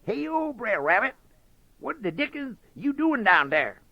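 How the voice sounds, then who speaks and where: A man's voice: extremely high pitch, sing-song, a little slow, pushed and bright, pitched above the performer's own voice, heavily acted. A man voicing a greedy, gullible male fox who stammers eagerly in storybook dialect. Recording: dull dry lo-fi recording.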